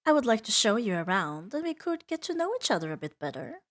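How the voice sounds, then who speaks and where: A woman voicing a seductive, possessive female demon in an intimate ASMR roleplay, teasing and soothing with soft dominance. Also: a little high, sing-song, quick pace. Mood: pleased, sly. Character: seductive, possessive female demon doting on her new pet.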